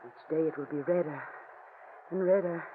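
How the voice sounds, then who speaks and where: A woman's voice: low-pitched, normal speed, lightly acted. Woman playing imperious dying noblewoman, tinny old radio. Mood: bitter, taunting. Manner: taunting, imperious, theatrical. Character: dying, fevered, bitter and commanding aristocratic sister. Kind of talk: 1940s radio horror acting. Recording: tinny, noisy vintage radio broadcast.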